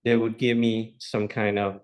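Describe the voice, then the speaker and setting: A man's voice: lowish voice, flat delivery, a little slow. Man giving a classroom lecture. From Thailand. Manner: explains with rhetorical questions and repeats himself. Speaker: university lecturer.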